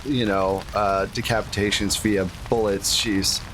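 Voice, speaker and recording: A man's voice: on the low side, level tone, measured pace, noisy background. A man running a tabletop game, improvising narration and chatting casually and jokily with players. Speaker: game master.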